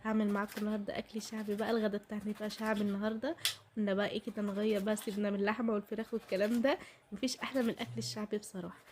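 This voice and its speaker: A woman's voice: medium pitch, level tone, very fast, background noise. A woman with an Egyptian accent and a voice altered by a heavy cold, chattily narrating a recipe straight to her viewers in a casual way. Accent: Egyptian Arabic. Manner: chatty, addresses viewers directly. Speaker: home cooking vlogger.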